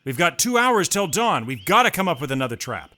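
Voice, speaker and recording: An actor playing a resolute, resourceful mercenary on the run in an audio drama, speaking in terse, urgent commands. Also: male voice, fairly high pitch, big pitch swings, fairly quick, sharp tone. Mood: tense, commanding. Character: resolute, resourceful male mercenary hunted through a jungle.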